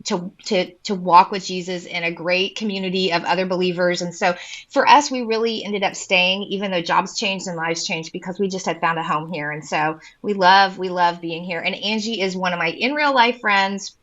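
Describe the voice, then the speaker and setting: A woman's voice: fairly low pitch, normal speed, a bit bright, dull audio. A woman in her forties from the American Midwest, talking as a podcast guest in an enthusiastic way with many ums. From Indiana, US Midwest. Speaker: podcast guest, women's ministry leader. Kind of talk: casual interview chat.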